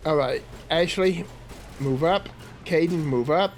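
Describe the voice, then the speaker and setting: Man, let's play host, sniffly from a cold, fairly high voice, chatty squad orders, game music. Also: slow pace. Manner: chatty, talks to his squad and viewers. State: stuffy from a cold. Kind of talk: casual gameplay commentary.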